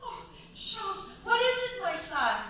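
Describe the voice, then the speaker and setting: A woman's voice: very high-pitched, sing-song, a little slow, sharp tone, exaggerated voice. A woman playing a haughty, possessive older mother of a grown son, formal and theatrical in a staged radio play. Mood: forceful, worried. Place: stage at a cultural centre. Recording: echoey stage, distant mic, faint noise.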